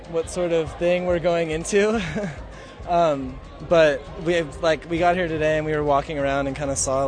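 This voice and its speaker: A man from San Francisco who plays in a band, talking in a relaxed, hesitant way at an outdoor festival. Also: fairly high pitch. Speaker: musician. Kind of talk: casual interview. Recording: outdoor festival with crowd and music.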